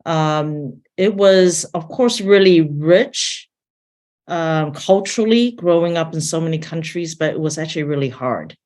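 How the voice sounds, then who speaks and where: Slow, low-voiced woman giving testimony. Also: a little mellow. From born in Taiwan, grew up in several countries. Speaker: missions organisation leader, interview guest. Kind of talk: personal testimony in an interview.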